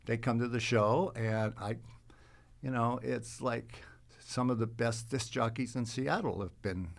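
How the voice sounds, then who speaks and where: A man chatting casually as a podcast guest, telling anecdotes in a halting, rambling way. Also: mid-range voice, steady tone, gravelly. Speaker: podcast guest, actor and car show organizer.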